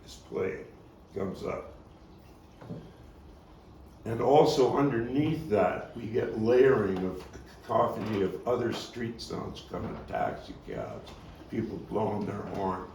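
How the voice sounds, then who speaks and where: A man talking through the stage directions of a play in a halting manner, as its playwright and actor. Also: on the low side, animated, creaky, darkish tone. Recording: roomy, noisy, distant microphone.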